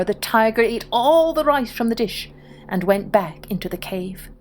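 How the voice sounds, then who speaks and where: A woman narrating a scripted children's story in an expressive way, dropping to a hush for suspense. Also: a little high, a little hiss or hum. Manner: expressive, hushed at suspenseful moments. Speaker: storyteller, podcast host.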